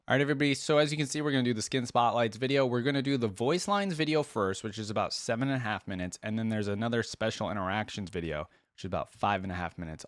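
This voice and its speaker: A man's voice: fairly low pitch, no echo, studio quality. A man hosting a reaction video, musing aloud and addressing viewers in casual, conversational commentary.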